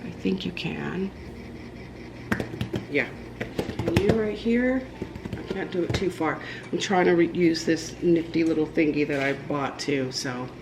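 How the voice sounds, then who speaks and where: A woman's voice: sits low, unhurried, long pauses, background noise. A woman vlogging casually in her home kitchen, nasal from a cold, rambling with lots of ums as she bakes. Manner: rambling, with many ums and restarts. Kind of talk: casual chatty cooking vlog. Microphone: camera propped on the counter.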